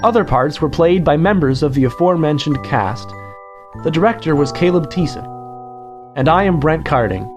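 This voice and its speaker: Announcer reading credits over music. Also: male voice, on the high side, expressive, normal speed.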